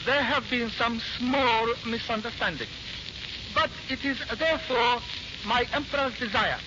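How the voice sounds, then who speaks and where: Smooth envoy, unusually high pitch, formal and measured, 1940s radio play with heavy hiss. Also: male voice. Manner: formal, ceremonious, measured oratory. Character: smooth, solemn Japanese envoy proposing toasts of peace. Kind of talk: 1940s radio drama acting. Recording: noisy, muffled 1940s radio recording.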